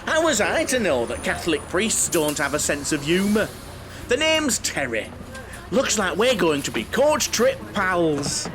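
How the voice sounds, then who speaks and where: An actor playing a chatty, camp, fussy coach-trip enthusiast with a theatrical, over-familiar manner in a comic audio drama. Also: male voice, sits high, very animated, unhurried, music in the background.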